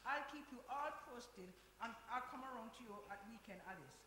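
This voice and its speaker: A woman's voice: on the high side, expressive, fairly quick, bright, forceful tone. A woman acting in a radio play as a bossy, upbeat community centre organiser. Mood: brisk, organising. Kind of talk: radio drama performed before an audience. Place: hall, recorded live. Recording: echoey hall with background noise.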